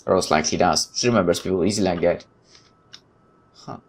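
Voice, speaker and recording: A man's voice: low voice, brisk. A man reading visual novel narration aloud dramatically, with casual asides as a gaming host.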